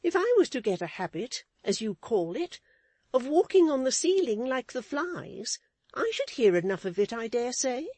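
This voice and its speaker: A woman's voice: very animated, normal speed, darkish tone, dry recording. A woman voicing a middle-aged wife who scolds her husband with withering, self-righteous indignation. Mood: scornful. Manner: high-pitched, withering, sharply emphatic.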